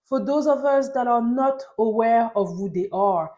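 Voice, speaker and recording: A woman's voice: a little high, normal speed, a little mellow. A woman from Paris with a French accent, speaking English as a second language, gives a casual, chatty and enthusiastic recap as a video host. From Paris, France. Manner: chatty, enthusiastic fan talk. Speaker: YouTube host reviewing reality TV.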